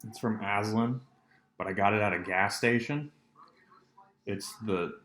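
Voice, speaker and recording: Low-voiced man rambling on his podcast. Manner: rambling, deadpan, many ums and asides. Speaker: solo podcast host. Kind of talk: rambling solo podcast chat.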